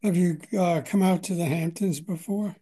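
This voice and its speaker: A man interviewing a guest, asking questions haltingly and often repeating words. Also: high voice, steady tone, warm tone, husky.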